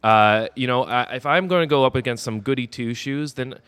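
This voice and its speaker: Smooth-voiced, boastful man. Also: mid-range voice, brisk, fairly bright tone, dry recording. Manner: boastful, cocky heel persona. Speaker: wrestler guest. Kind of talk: podcast interview.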